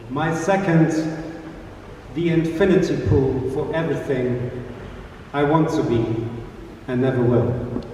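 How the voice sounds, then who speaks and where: A man's voice: medium pitch, slow, pauses often, dark tone. Man reading poetry in echoey church hall. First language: German. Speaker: poet. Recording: echoey church hall, distant mic, audible room noise.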